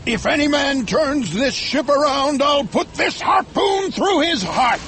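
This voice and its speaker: A fierce, obsessed sea captain from a storybook, acted out by a man, bellows with defiance. The voice is strained far up in pitch, swings widely and stretches words out slowly, over background noise. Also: lively intonation, heavily acted. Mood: fierce, defiant. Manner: shouting, drawn-out declamatory delivery. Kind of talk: dramatized storybook narration.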